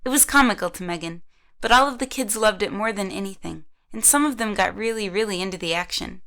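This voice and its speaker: A woman's voice: medium pitch, brisk, creaky, fairly bright tone. A woman reading scripted narration for an audio drama, telling the story in the third person.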